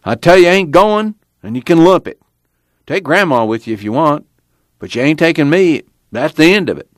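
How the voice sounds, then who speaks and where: A stubborn, cantankerous old farmer grandfather, as a male narrator puts him on in an audiobook. The voice rides high and wobbly with lively swings, full of folksy rural grumbling. The recording is close and dry. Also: moderate pace, dry recording. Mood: furious. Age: older. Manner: cranky, defiant, folksy rural speech.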